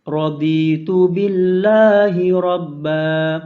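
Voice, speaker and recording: High-voiced man slowly reciting prayers. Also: steady tone. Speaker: prayer leader. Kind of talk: slow chanted prayer recitation. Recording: dull lo-fi recording.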